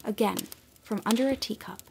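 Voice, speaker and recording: A woman narrating a storybook audio drama in a gentle, measured way. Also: mid-range voice, vocal fry, a little hiss or hum.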